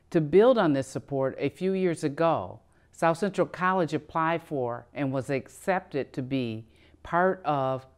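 A middle-aged woman reading a formal commencement address from a podium in a measured way. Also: low-pitched, a little mellow. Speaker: college president giving commencement address. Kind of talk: formal prepared speech. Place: studio in front of a backdrop.

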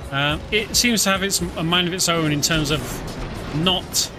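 A man's voice: sits high, moderate pace. Chatty British man commenting on a game. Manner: chatty, explaining as he plays, many fillers. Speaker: game streamer. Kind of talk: casual gameplay commentary. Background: game music.

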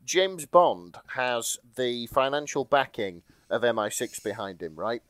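A man's voice: mid-range voice, animated, measured pace, a bit bright, no room sound. A man podcast host with a wry, explanatory manner, chatting casually and reading aloud for laughs. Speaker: podcast host reading and recapping fan fiction. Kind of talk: casual comic chat with read-aloud.

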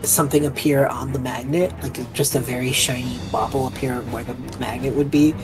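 A man's voice: medium pitch, steady tone, a little fast, over music, low-quality recording. A man playing a tabletop game, describing his character's moves in casual chat.

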